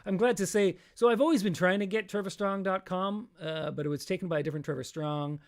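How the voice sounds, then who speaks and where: A middle-aged man with a raspy, practice-tired voice, hosting a live stream and chatting in a rambling, self-deprecating way between songs. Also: high-pitched, fast talker, faint music behind.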